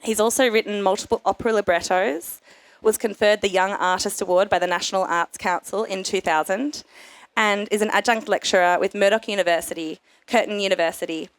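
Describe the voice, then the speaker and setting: A woman moderating a festival panel, introducing guests and asking measured questions with frequent fillers. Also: medium pitch, fairly even intonation, smooth, dry recording. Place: festival stage.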